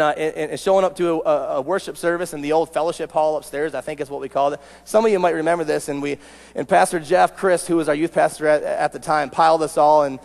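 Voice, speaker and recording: A man's voice: a little high, creaky. A man in his late thirties with an American accent, preaching an informal sermon, repeating phrases for emphasis and restarting sentences. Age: late 30s. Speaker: youth pastor preaching. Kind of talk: casual sermon to a congregation. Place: large church sanctuary stage, indoors. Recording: reverberant church hall sound.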